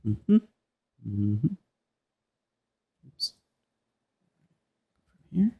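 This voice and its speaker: Male sound designer, lower-pitched voice, presenting behind the scenes in an informal, thinking-aloud way. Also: big pitch swings, extremely slow, gravelly, breathy edge, phone-quality sound. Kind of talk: casual walkthrough of own work.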